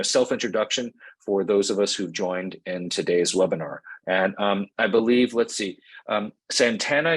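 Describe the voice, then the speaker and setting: A man hosting an online information session, talking in a relaxed, conversational way with plenty of fillers over a video call. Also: mid-range voice, breathy edge. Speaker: associate dean hosting an info session. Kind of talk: webinar presentation and hosting. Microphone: computer mic on a video call.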